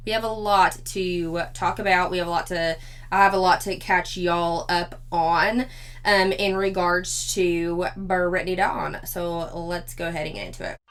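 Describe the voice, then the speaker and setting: A slightly hoarse woman, chatting casually as a commentary vlog host who laughs often. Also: lowish voice, level tone, measured pace, a bit bright. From Four-State area of Missouri, Arkansas, Kansas, Oklahoma.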